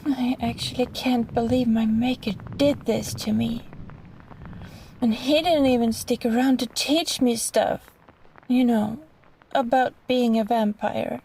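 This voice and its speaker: A woman voicing a sweet but possessive catgirl vampire in an intimate roleplay monologue, soft and halting, pleading, with small sighs. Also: fairly high pitch, steady tone, slight background noise, poor audio. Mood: wistful, self-pitying.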